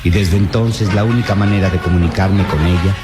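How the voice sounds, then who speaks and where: An actor playing an elderly, idealistic professor in a radio serial, earnest and formal. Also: male voice, low voice, steady tone, fairly quick, over loud music, lightly acted. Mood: wistful, anguished. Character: elderly idealistic male professor, principled, longing father. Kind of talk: old radio serial acting.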